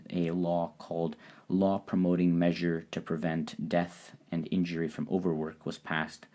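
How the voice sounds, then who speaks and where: Low-voiced man explaining, podcast host. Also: warm tone. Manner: explanatory, measured. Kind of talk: solo podcast monologue. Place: lives in Japan.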